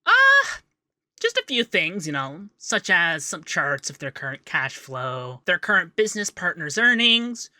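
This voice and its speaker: A man playing a young, fussy, devoted femboy secretary in a scripted ASMR roleplay, chatty and expressive. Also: high-pitched, no echo, clean studio sound, heavily acted. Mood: businesslike, upbeat. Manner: chatty, expressive, bashful asides. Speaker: voice actor in roleplay audio.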